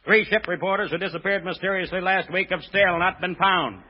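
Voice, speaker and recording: A man's voice: sits high, brisk, a little hiss or hum, close to an everyday voice. A male actor playing a sardonic, wisecracking gangster henchman in a vintage radio drama. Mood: matter-of-fact, warning. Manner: dry, sarcastic, slangy. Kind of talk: 1930s-40s American radio drama acting. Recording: muffled, dull vintage radio recording.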